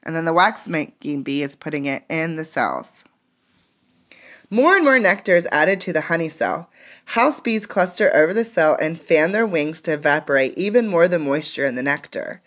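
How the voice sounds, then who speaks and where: Woman, slightly low voice, teacher, children's read-aloud, explanatory, instructive. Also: expressive, normal speed, a bit bright. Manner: explanatory, pointing things out to young listeners. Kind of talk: interactive read-aloud of a children's nonfiction book with explanatory asides.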